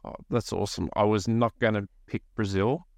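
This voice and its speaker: A man's voice: on the low side, steady tone, a little fast, gravelly, darkish tone, no echo, studio quality. A man with an Australian accent hosting a podcast in a casual interview style. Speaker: podcast host.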